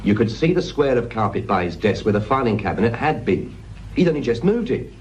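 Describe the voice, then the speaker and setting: A man's voice: mid-range voice, sing-song, a little fast, darkish tone, highs cut off, a little hiss or hum, natural voice. A man playing a keen, insolent younger detective with a British accent, urgent and needling in a radio crime drama. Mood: eager, reasoning.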